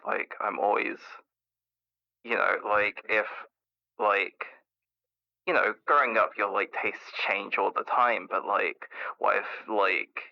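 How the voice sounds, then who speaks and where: Through a dull lo-fi phone filter comes a man playing an anxious male scientist calling into a radio drama. His lowish, gravelly voice rambles nervously and repeats itself, full of self-doubt. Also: fairly even intonation, slow pace. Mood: anxious, rambling. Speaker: radio caller. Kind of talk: improvised call-in radio acting. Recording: muffled lo-fi caller line.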